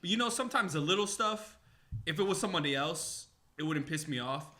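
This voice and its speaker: Male podcast guest, higher voice, slangy, casual storytelling, clear chatter of voices behind. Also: expressive, a little fast. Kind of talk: casual chat with swearing.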